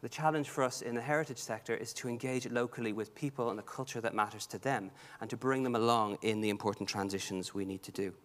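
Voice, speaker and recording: A man's voice: medium pitch, fast, few pauses, fairly bright tone. A man with a Dublin Irish accent, giving an earnest prepared talk at a conference, with frequent ums and restarts. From Ireland. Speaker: conference speaker. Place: large conference hall.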